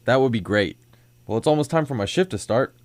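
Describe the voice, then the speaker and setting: A man's voice: mid-range voice, lively intonation, fairly quick, natural voice. A man playing an eager, polite young security guard in an old-time radio drama, speaking earnestly.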